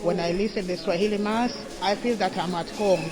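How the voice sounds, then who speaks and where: A woman's voice: mid-range voice, steady tone, fairly quick. A woman from Africa, speaking in an interview. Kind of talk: interview soundbite. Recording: clear crowd noise behind. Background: crowd.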